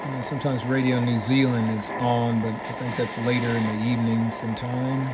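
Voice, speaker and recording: A man casually narrating to himself and viewers as he tunes a radio, unhurried and thinking aloud. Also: mid-range voice, level tone. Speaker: shortwave radio hobbyist host. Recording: loud radio static and hiss. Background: shortwave radio noise.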